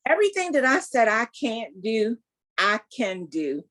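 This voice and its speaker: A woman's voice: a little high, very animated, a little slow, a bit bright. A woman in her sixties, a guest telling her story in an emphatic, faith-filled way. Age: 60s. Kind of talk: casual interview, testimony.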